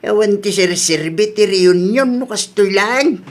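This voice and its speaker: Man acting a high-pitched grumbling Ilocano father. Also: unhurried. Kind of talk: radio drama acting.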